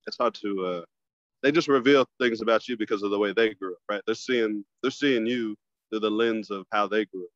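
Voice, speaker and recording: Laid-back low-voiced man on a call. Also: a little fast, dry recording, lo-fi sound. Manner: laid-back, loose, restarts phrases. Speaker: stand-up comic, podcast guest. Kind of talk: casual conversation.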